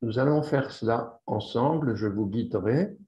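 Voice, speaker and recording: A man leading a guided yoga relaxation as the instructor, speaking deliberately and repeating phrases for emphasis. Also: mid-range voice, mellow. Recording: roomy echo, slightly dull sound.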